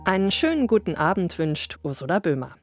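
A woman's voice: lowish voice, sing-song, a little fast. A woman presenting a classical concert broadcast on the radio, telling the story of the music in a light, engaging way.

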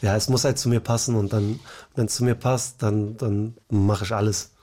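A man's voice: fairly low pitch, steady tone, normal speed, warm tone. Laid-back Berlin-born man in his thirties. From Berlin, Germany. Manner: laid-back, laughs easily, many fillers. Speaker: comedian guest. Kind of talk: casual interview answers.

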